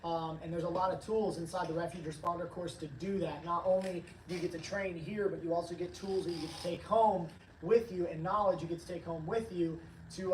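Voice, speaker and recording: A hoarse man hosting a casual livestream, upbeat and rambling as he reads chat comments aloud. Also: a little high, a little hiss or hum. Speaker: livestream host, training company staff. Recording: roomy, distant from the mic.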